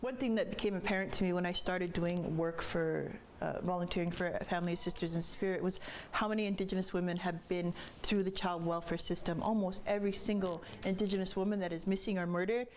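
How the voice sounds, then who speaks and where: A woman's voice: fairly low pitch, steady tone, brisk, a little hiss or hum. A woman, an activist, speaking earnestly and reflectively in a documentary interview, often restarting her sentences. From Canada.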